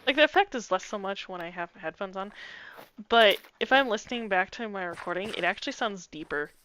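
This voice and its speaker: Casting: young adult woman, chatty and explanatory, bright tense voice, faint background hiss. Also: mid-range voice, lively intonation, brisk, poor audio. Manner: chatty, explains things, laughs lightly. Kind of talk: casual chat.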